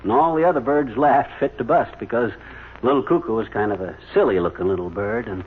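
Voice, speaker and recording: A man's voice: mid-range voice, lively intonation, fairly quick, phone-quality sound, lightly acted. A man playing a folksy, patient storyteller who spins tales for a child in homely phrases, in old-time radio comedy style. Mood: warmly dramatic. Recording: thin, hissy old radio recording.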